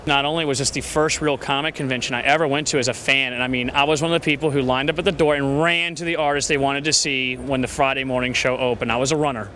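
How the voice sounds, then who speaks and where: A man's voice: mid-range voice, steady tone, fast, few pauses, pushed and bright, a little hiss or hum. A man, a comic book dealer, talking enthusiastically and at length in a casual interview at a convention. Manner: enthusiastic, rambling. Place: convention hall, indoors.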